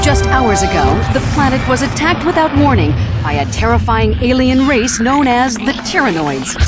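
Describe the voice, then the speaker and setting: An actress playing a brisk female TV news anchor with a crisp newsreader delivery, in a game cutscene with loud music. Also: on the high side.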